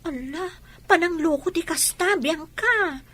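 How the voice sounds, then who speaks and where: A woman's voice: extremely high pitch, measured pace, a bit bright, no room sound. A woman with an Ilocano accent playing a kindhearted, cautious young college girl in a radio comedy drama. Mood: reproachful.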